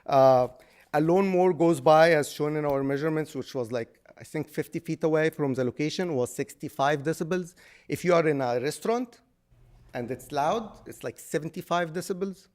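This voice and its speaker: A man from Egypt, an engineering consultant, explaining technical findings at a public hearing in a loud voice with frequent restarts and fillers. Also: on the high side, normal speed.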